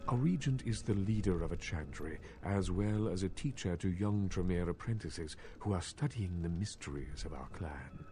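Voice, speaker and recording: An actor playing a courteous, stern elder vampire regent in a video game, speaking in a formal, measured, condescending manner. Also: male voice, low voice, lively intonation, mellow, faint music behind. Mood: instructive, stern. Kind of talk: scripted video game voice acting.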